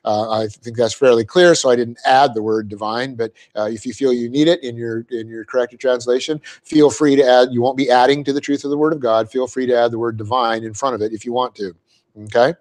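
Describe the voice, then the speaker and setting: A man teaching a Bible class, explaining Greek grammar step by step, spelling out words and clearing his throat often. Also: mid-range voice, fairly even intonation, fairly quick, darkish tone. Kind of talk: verse-by-verse Bible class lecture.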